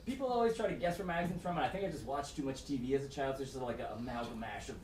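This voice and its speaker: A man from Maryland in a comedy club audience, answering the comedian in a soft, hesitant way. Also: mid-range voice, racing. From Maryland, USA. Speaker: audience member. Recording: distant, echoey room mic, faint noise.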